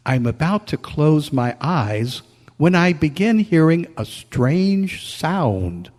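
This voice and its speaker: A man playing a dutiful little teddy bear colonel with a measured, theatrical military manner in a radio play. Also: fairly high pitch, sing-song, darkish tone, slight background noise. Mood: weary, wondering. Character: foot-tall teddy bear colonel, dutiful, weary traveller. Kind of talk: old-time radio stage play. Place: convention meeting hall. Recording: echoey hall, long reverb.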